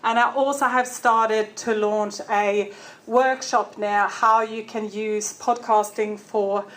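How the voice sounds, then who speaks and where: A woman from Sweden, giving a short, friendly pitch for her podcast at a networking event. She laughs often and sometimes stops to look for a word. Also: steady tone, slow pace. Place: event room with an audience.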